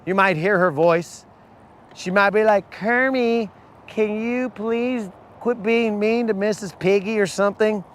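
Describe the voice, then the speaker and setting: A man hosting a live fishing stream from a boat, talking casually with viewers in a hoarse voice. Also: high voice, a little slow, a little hiss or hum. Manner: casual, slangy, calls viewers bro. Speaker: fishing channel host. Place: outdoors on a boat on a lake.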